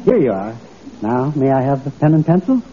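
Vintage radio comedy professor male actor: absent-minded, genial, dithering, animated delivery over audience sound. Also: medium pitch, a little fast, highs cut off, crowd noise, lightly acted. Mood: genial, befuddled. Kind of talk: old-time radio comedy acting.

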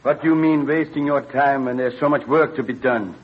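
A man's voice: mid-range voice, fairly quick, natural voice. An actor playing a stern, grumbling farmer father in an old-time radio drama. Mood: stern, disapproving. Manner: gruff, disapproving. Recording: dull vintage radio recording.

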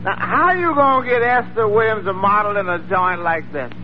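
An actor playing a wry, skeptical tavern waiter who drawls deadpan needling remarks in 1940s radio comedy. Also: male voice, high-pitched, moderate pace, close to an everyday voice. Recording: muffled, noisy old radio recording, loud audience.

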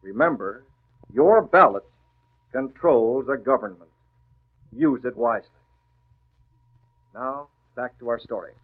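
A man's voice: medium pitch, lively intonation, a little slow, long pauses. A man delivering a scripted 1940s radio message to young listeners in an earnest, oratorical manner. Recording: tinny, narrow-band old radio recording.

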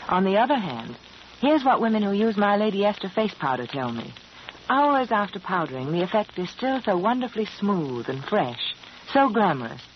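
A woman reading a scripted vintage radio commercial as hostess, polished and speaking directly to the listener. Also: on the low side. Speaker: sponsor's hostess reading an advertisement. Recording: muffled, noisy old radio broadcast.

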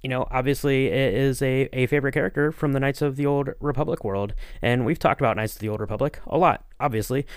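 A man's voice: medium pitch, steady tone, fast, few pauses, clear, resonant tone, dry recording. Male podcast host, informal and rambling, mid-range voice, dry recording. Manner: rambling, informal, often saying you know. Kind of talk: casual podcast interview.

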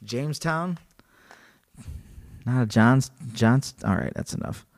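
A man in casual podcast chat, reading a story aloud to his co-host and cracking jokes as he goes. His voice sits in an ordinary middle range for a man. He talks in an animated way and laughs easily. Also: mid-range voice, slow pace. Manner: animated, joking, laughs often.

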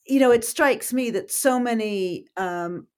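Halting woman interviewer full of fillers. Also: fairly high pitch, a little mellow. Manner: halting, many fillers and false starts. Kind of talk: interview questions.

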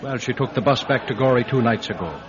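An actor playing a stubborn, suspicious Irish villager with a pointed, needling manner in a radio drama. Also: male voice, medium pitch, animated, fast talker, background noise, lightly acted. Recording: dull, muffled radio-drama recording.

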